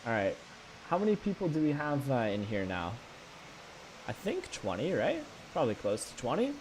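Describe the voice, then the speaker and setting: A young man from Canada, live-streaming a flight game and chatting with viewers in a stammering, excitable way. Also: medium pitch, normal speed, slight background noise, rough recording. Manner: animated, stammering, talks to chat. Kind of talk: live gameplay stream chat.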